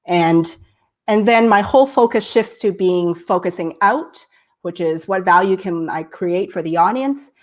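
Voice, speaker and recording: A woman's voice: fairly low pitch, a little slow. Texas lady hosting a live stream, kinda nasal, super enthusiastic. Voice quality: slightly nasal. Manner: enthusiastic, many "right?" and "I love it". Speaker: host.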